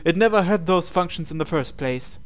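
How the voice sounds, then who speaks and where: A man's voice: on the high side, lively intonation, fairly quick, smooth. A man reading a visual novel aloud as a gamer, breaking into casual, incredulous asides. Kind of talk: game playthrough narration with casual asides.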